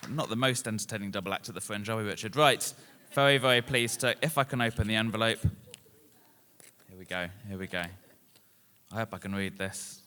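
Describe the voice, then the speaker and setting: A man's voice: on the low side, a little fast, pushed and bright. A man presenting an award live, with a self-deprecating, slightly flustered manner. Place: stage at an awards ceremony in a hall. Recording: echoey hall, faint crowd and noise, clicks.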